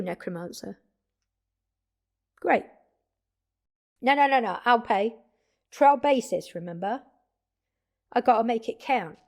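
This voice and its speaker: A woman playing a brash, excitable undead vampire in an audio drama, talking casually and expressively straight to the listener with salty language and dramatic pauses. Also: a little high, no room sound, studio quality. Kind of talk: intimate audio drama roleplay addressed to listener.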